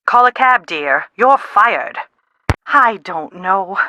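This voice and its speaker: A woman playing an anxious, sharp-tongued middle-aged mother in a video game, with a dry, dramatic manner. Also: mid-range voice, expressive, slow pace. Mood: stern, exasperated. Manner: exasperated, dramatic, dry wit. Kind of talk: video game dialogue, phone conversation acting.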